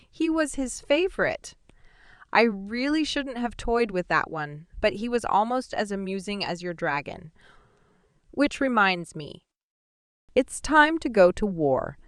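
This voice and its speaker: A woman's voice: on the high side, fairly bright tone, smooth, no room sound, clean studio sound, voice pushed up. A woman voicing a sneering, amused sorcerer father. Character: cruel, mocking male sorcerer father. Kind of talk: audiobook dialogue reading.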